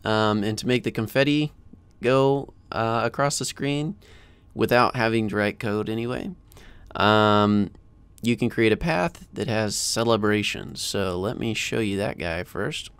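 An American man in his thirties hosting a casual software screencast tutorial in an informal, chatty way, close to a desk mic. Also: on the low side, a little slow, smooth, no room sound. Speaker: tutorial host. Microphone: close desk microphone.

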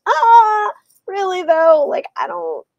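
A woman's voice: very high voice, wildly swooping pitch, takes it slowly, dry recording, muffled recording. A woman in her thirties chatting casually about books on a video call, hedging as she goes and often saying "like". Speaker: book channel host. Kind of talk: casual book discussion on a live video call. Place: small indoor room with bookshelves. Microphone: earbuds on a video call.

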